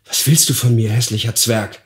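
A man's voice: mid-range voice, fairly even intonation, moderate pace, reverberant, lightly acted. A man voicing an angry market woman, a scolding and indignant mother in a fairy tale. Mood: horrified anger. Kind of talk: dramatized fairy-tale audiobook.

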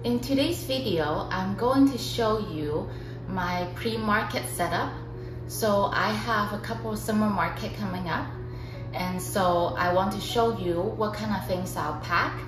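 Woman, 30s, potter vlogger, unhurried and soft-spoken over background music. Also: medium pitch, poor audio. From Canada. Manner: slow, gentle, careful delivery. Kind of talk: calm explanatory vlog narration. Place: home room indoors.

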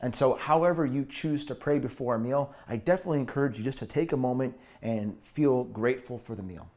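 Man, 30s, fitness coach, cooking demo, home kitchen, earnest, step by step, mid pitch. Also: brisk, darkish tone. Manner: earnest, upbeat, explains step by step. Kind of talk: cooking demo talk to camera.

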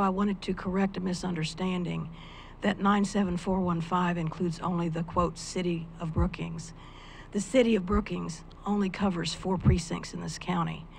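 An older woman with a soft voice speaking earnestly and a bit hesitantly as a public commenter at a county meeting. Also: on the low side, creaky, slight background noise, poor audio. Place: county meeting room, indoors. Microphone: stand microphone.

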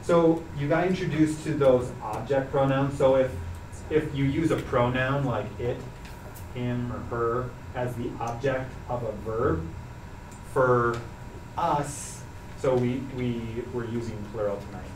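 A young man teaching a language class, explaining patiently with relaxed fillers. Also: medium pitch, a little slow. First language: English. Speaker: Hebrew teacher. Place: carpeted classroom, students seated around. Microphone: far camera. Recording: echoey room from far off, noisy.

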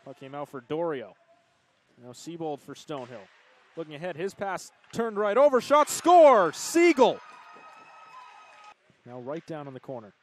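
Guy calling a hockey game, higher voice, quick calls, gets loud on goals. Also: big pitch swings. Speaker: play-by-play commentator. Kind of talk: hockey play-by-play commentary.

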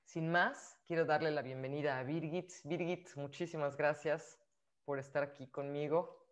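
A young woman hosts an interview over a video call from a room lined with bookshelves. Her voice sits low, and she frames her questions with hesitant fillers, using a laptop webcam mic. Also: fairly even intonation, moderate pace. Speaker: host.

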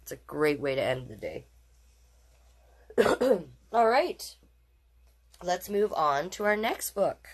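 A woman's voice: lowish voice, very animated, takes it slowly, long pauses. A woman hosting a children's storytime, reading picture books aloud with playful, encouraging asides to young listeners. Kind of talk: picture-book read-aloud with chatty asides.

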